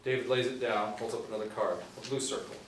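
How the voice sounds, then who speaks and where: A man's voice: medium pitch, little pitch movement, quick pace. A middle-aged man reading stage directions as scripted narration at a screenplay table read. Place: small indoor room, seated group reading. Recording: roomy, distant mic, faint room noise.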